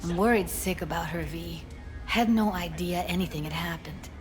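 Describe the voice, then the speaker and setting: A woman's voice: on the low side, moderate pace, soft background music, natural voice. An actress voicing a worried, streetwise young woman in a video game, terse, colloquial and fretful. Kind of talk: video game character acting.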